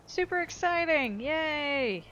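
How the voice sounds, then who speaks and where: A woman's voice: high voice, animated, slow pace, fairly bright tone, lo-fi sound, a little hiss or hum. Upbeat woman chatting over a video game. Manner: upbeat, thinking aloud. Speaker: streamer playing and narrating a video game. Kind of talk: casual gameplay chat.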